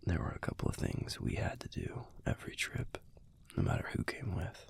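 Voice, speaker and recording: A man playing a gruff but tender young werewolf boyfriend in an intimate audio roleplay, with an American accent and a soft, husky, laid-back murmur. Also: whispered, lightly acted. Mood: fond, nostalgic. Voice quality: breathy, husky, gravelly low voice. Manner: laid-back, murmured, halting, casual swearing. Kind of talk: intimate audio roleplay, speaking softly to the listener.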